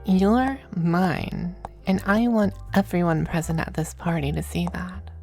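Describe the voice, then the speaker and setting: A woman voicing a suave, possessive demon femboy in an ASMR roleplay, with genteel, teasing endearments and a breathy voice that dips into whispers. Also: on the low side, measured pace, mellow, faint music behind. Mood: possessive, fond. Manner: genteel, languid, commanding endearments.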